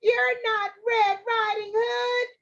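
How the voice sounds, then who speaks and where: A naive old storybook grandmother acted out by a woman speaks in a high, quavering voice. She exclaims slowly and excitably. The recording is a bit dull. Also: creaky, full character voice. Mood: delighted, then alarmed.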